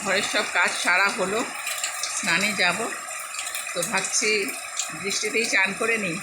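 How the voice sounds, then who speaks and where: A woman's voice: mid-range voice, normal speed. A woman chats casually to viewers as a vlogger, musing and repeating her remarks. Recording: loud rain noise over voice. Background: steady rain and falling water.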